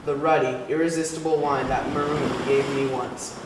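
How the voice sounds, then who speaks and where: A man's voice: medium pitch, steady tone, moderate pace, natural voice. A man playing a commanding, crafty Greek hero and sea captain in an amateur puppet show, reciting in a declamatory way. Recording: roomy, distant, noisy recording.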